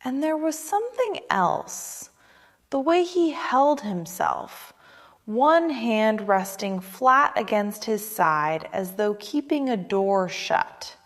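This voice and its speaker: Woman as slow, solemn ancient death-singer, cavernous reverb. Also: medium pitch. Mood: hushed, haunted. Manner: solemn, hushed, deliberate storytelling delivery. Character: centuries-old female death-singer spirit, proud, melancholy narrator. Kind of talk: gothic audio drama narration.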